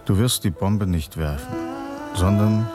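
A man acting in a German radio drama as a steady, grim but consoling Russian revolutionary leader, speaking tersely and matter-of-factly. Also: low-pitched. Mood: calm, consoling. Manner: terse, measured, matter-of-fact. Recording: studio radio play with music behind.

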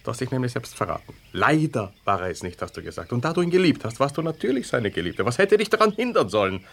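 Jealous husband, Austrian, ironic and probing, 1970s radio drama. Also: male voice, medium pitch, very animated, fast talker, gravelly, a bit bright, full character voice. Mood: bitter, insistent. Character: jealous husband, sardonic, smooth-talking, needling his wife.

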